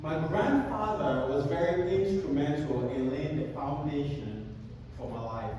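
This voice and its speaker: A man in his thirties from Cameroon with a Cameroonian accent, giving an animated motivational keynote at a conference and telling his story with self-deprecating jokes. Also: fairly high pitch, a little slow, a little hiss or hum. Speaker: conference speaker. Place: large conference hall. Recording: echoey hall, speaker far from mic.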